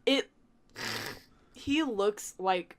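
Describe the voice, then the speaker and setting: A woman's voice: medium pitch, lively intonation, extremely slow. A woman ranting casually on a podcast in an emphatic, theatrical, sweary way. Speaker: anime podcast participant. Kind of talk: casual animated ranting chat.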